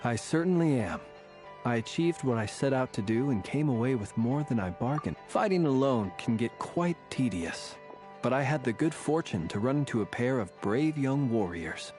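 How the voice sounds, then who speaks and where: A man's voice: mid-range voice, expressive, normal speed, darkish tone, soft background music, natural voice. A man playing a composed, genteel warrior in a video game, speaking formally and at length. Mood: genial, satisfied. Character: courteous, eloquent, composed gentleman warrior.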